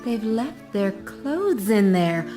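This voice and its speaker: A woman's voice: medium pitch, very animated, slow pace. An actress playing a snobbish aristocratic lady in a comic video game, drawling with disdain. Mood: disdainful. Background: music.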